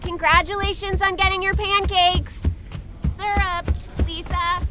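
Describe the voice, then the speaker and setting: A woman's voice: very high-pitched, flat delivery, measured pace, background noise. A woman playing an awkward, earnest ship's first mate, stiffly polite and blunt, in an audio drama comedy.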